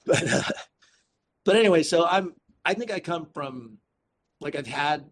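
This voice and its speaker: A man speaking casually and earnestly as an interview guest, thinking aloud in a halting way. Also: fairly high pitch, expressive, a little hiss or hum. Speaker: podcast guest, activist and author. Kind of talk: casual conversational interview answers.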